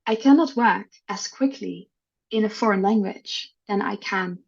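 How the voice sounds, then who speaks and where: A woman's voice: medium pitch, unhurried, a little mellow, slightly rough, highs cut off. A woman from the Netherlands whose first language is Dutch, talking frankly and thoughtfully as an interview guest about her work. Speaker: guest, head of people team. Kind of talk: casual interview talk.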